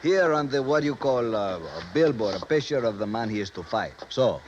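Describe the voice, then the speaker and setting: A man's voice: mid-range voice, expressive, highs cut off, close to an everyday voice. Man playing a proud Mexican sergeant, noisy old radio. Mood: grave, boastful. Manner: theatrical, drawn-out emphasis and broken English. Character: proud, excitable, self-important Mexican police sergeant. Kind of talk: 1950s radio drama acting.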